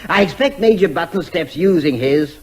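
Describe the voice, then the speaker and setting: Cheeky English chancer, high lively husky voice. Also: male voice, moderate pace. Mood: mock-genteel. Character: cheeky, unflappable male out-of-work actor posing as a troublesome neighbour. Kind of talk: comic radio drama acting.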